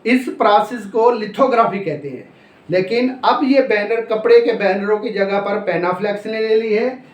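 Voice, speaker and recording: A man's voice: high-pitched, normal speed. A man with a Pakistani accent giving a law lecture, explaining step by step, repeating points, asking and answering his own questions and mixing in English legal terms. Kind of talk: classroom lecture, explaining and dictating notes. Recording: echoey, roomy sound.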